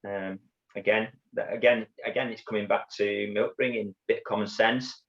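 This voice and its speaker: A middle-aged man with a Yorkshire accent, telling his story as an interview guest in a halting way, with many restarts and repeated words. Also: lowish voice, steady tone, gravelly. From Bradford, England. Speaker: guest, former undercover police officer. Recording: dull lo-fi audio.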